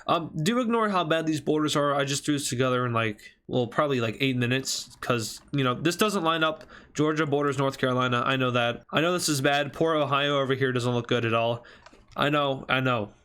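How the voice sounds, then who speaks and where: A man's voice: mid-range voice, a little fast, a bit bright, clear, resonant tone. A man sounding stuffy from allergies, giving casual, conversational commentary as a video host, with informal self-deprecating asides. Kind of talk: casual commentary over a map scenario video.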